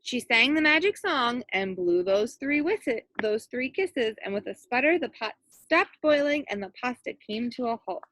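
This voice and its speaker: A woman reading a picture book aloud in an animated way, with asides to young listeners as a teacher would. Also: a little high, normal speed, few pauses, dry recording, poor audio. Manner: animated, acts out quoted lines and points out pictures. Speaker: elementary school teacher reading aloud to children.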